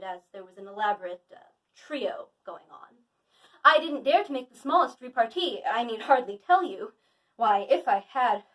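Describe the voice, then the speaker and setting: A woman's voice: sits high, a bit bright, distant mic, low-quality recording. A woman playing a flippant, exasperated young society woman in a theatrical Victorian comedy monologue, arch and emphatic. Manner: arch, emphatic, mock-indignant.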